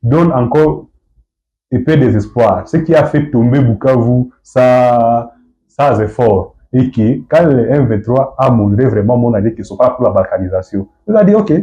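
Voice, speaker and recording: Muffled audio, Congolese man, dark low voice, activist interviewee, halting delivery, repetitive rephrasing. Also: animated. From DR Congo, from Goma. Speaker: activist guest being interviewed. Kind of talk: political interview answers. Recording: dull, muffled lo-fi sound.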